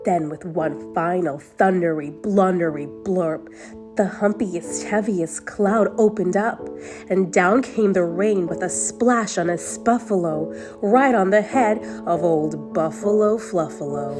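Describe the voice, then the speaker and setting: Woman storytime reader: slow, sing-song, expressive rhyming read-aloud over soft music. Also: on the low side. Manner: rhythmic, expressive rhyming delivery. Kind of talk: children's picture-book read-aloud.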